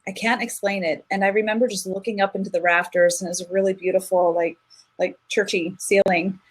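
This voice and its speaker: A woman's voice: mid-range voice, fairly even intonation, fairly quick, breathy edge, rough recording. A woman speaking as a guest in an interview, telling a personal story in an earnest, reflective way with frequent restarts. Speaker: guest, singer.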